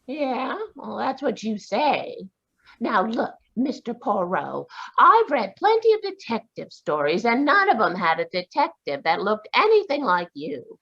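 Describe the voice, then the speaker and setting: A woman voicing a brisk, independent, sharp-tongued spinster in a live radio drama, with spirited, indignant retorts. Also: on the high side, very animated, creaky, slightly rough, full character voice. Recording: dull lo-fi video call audio.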